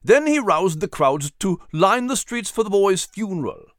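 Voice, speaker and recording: A man's voice: a little high, wildly swooping pitch, unhurried, dry recording, clean studio sound. A man voicing a stern, irritable British army captain with a formal, clipped manner in a historical storybook. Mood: angry.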